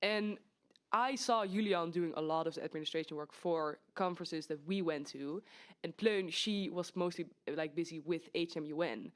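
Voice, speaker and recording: A woman's voice: on the low side, normal speed, few pauses. A young woman with a Dutch accent, chatting and joking on a podcast. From Netherlands. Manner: joking, laughs often. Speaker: podcast guest, conference staff. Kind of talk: casual chat.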